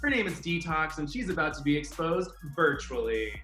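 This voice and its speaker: A male interview host with an upbeat, polished delivery, moving from a scripted intro into his questions. His voice sits a bit high and sounds bright. The room is echoey, with faint music underneath. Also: very animated, normal speed.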